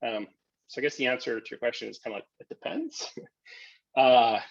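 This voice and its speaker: A man's voice: mid-range voice, animated, moderate pace, gravelly, no echo, lo-fi sound. A man presenting a casual tech talk, answering questions in a hesitant, thinking-aloud way over a video call. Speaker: presenter and software developer.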